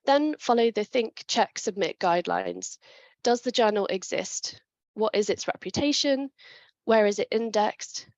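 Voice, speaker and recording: A woman presenting a webinar talk on writing papers, clear and methodical, with a chest infection coming on. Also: medium pitch, big pitch swings, a little slow, no echo, highs cut off. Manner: clear, methodical, with small restarts.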